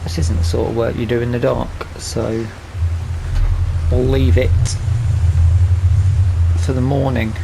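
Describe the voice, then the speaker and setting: A man hosting a gaming video with casual let's-play commentary, rambling and thinking aloud as he plays. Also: mid-range voice. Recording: clear background game vehicle noise.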